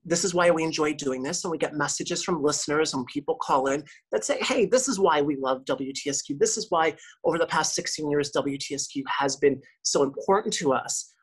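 Husky-voiced woman radio host chatting. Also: low-pitched, fairly quick, hardly any pauses. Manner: chatty, lots of restarts. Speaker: radio host, podcast guest. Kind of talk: casual interview talk.

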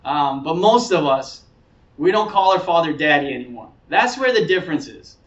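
Guy pastor giving an earnest sermon, kinda high voice, mic sounds far off and echoey. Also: expressive, moderate pace, a bit bright. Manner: earnest, explanatory, repeats phrases for emphasis. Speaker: pastor preaching. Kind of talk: sermon, conversational teaching. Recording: roomy, distant mic, dull sound.

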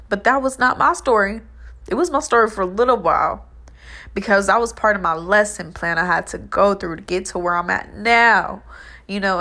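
A woman's voice: on the high side, fairly bright tone. A young woman with a stuffy, allergy-blocked nose, giving an uplifting motivational monologue that repeats its phrases and stretches out words. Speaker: vlogger.

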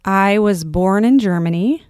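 A woman in her thirties from California, a podcast guest telling stories about her upbringing in a casual, animated way. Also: medium pitch, unhurried, clean tone, dry recording, studio quality. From Palo Alto, California. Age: 30s. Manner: animated storyteller, acts out quotes. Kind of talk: casual interview storytelling.

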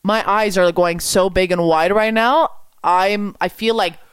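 A woman's voice: mid-range voice, measured pace, clear, resonant tone, no room sound. Quebec woman, french first language, upbeat business coach, fires off questions, dry close mic. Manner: energetic, rhetorical questions. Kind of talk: coaching talk to camera.

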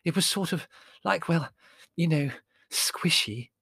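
A man's voice: fairly high pitch, animated, takes it slowly, gravelly, a bit bright, husky, dry recording, studio quality, pitched above the performer's own voice, full character voice. A man voicing a timid, fretful, easily terrified woman with a shaky, tremulous delivery. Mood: tearful, distraught.

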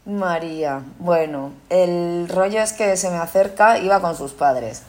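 A woman's voice: on the low side, measured pace, darkish tone. A woman with an accent from Spain chatting casually as a podcast host, telling stories bluntly with swearing and acted-out dialogue. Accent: Spain Spanish. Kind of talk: casual chat and anecdote.

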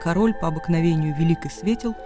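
A male narrator reads literary prose for a radio drama. His voice is pitched high, and faint music plays behind him. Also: fast.

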